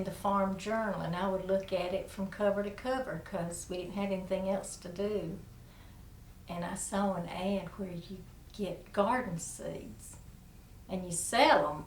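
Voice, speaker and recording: A woman's voice: mid-range voice, echoey room, far from the mic, slight background noise. A woman, reminiscing in a folksy, rambling way in an oral history interview, often chuckling. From South Carolina, USA. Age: 70s. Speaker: interviewee, amateur musician.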